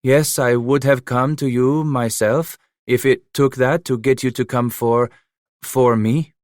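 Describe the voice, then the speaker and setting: A weary, cautious old Frenchman, as a male narrator puts him on, speaking formally and hesitantly. The delivery is slow and tired, recorded close and dry. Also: mid-range voice, fairly even intonation, darkish tone, no room sound, voice pushed up, lightly acted.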